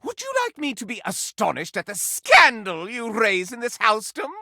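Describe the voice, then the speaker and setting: A man's voice: high-pitched, very animated, moderate pace, bright, forceful tone, dry recording, clean studio sound, exaggerated voice. A man voicing a flamboyant, pompous courtly dandy, a living candelabra in a video game, with florid, theatrical, grandiloquent delivery. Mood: amorous, delighted.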